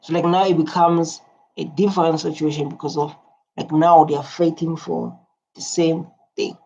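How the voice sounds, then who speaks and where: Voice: mid-range voice, level tone, normal speed, gravelly, darkish tone, husky. A reaction video host giving casual commentary and reading out viewer comments. The talk rambles, with frequent "like" and restarts. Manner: rambling, heavy use of "like" and restarts. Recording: dull lo-fi sound.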